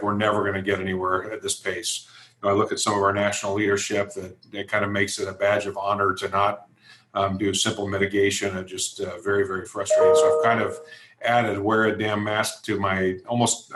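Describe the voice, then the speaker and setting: Male interview guest, American accent. Fairly deep, level pitch, emphatic plain talk, recorded in an echoey room. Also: little pitch movement, a little fast, fairly bright tone. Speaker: interview guest, events company executive. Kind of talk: conversational interview answers.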